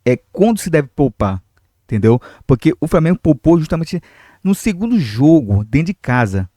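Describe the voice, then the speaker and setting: Brazilian guy talking football to his viewers, getting over a cold, relaxed mellow voice, dry close sound, lots of "né". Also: fairly high pitch, normal speed, no echo. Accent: Brazilian Portuguese. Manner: chatty, many fillers, addresses viewers directly. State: recovering from a cold, irritated throat. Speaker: football commentary channel host. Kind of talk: casual football commentary to camera.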